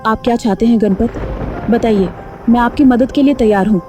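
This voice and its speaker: A woman's voice: a little high, moderate pace, lightly acted. A woman playing a kind-hearted, determined village mother in a Hindi cartoon story, earnest and emotional. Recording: faint music behind the voice.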